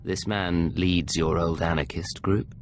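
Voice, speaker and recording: A man's voice: deep voice, fairly even intonation, a little slow, a little hiss or hum. A man playing a cool, terse intelligence agent in a video game, speaking in a controlled, understated way. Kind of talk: video game dialogue acting.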